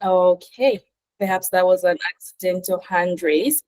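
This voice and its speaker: A woman hosts an online webinar over a video call. Her voice sits on the low side, and she talks enthusiastically, often with "uh" fillers. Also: measured pace, warm tone. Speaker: webinar host.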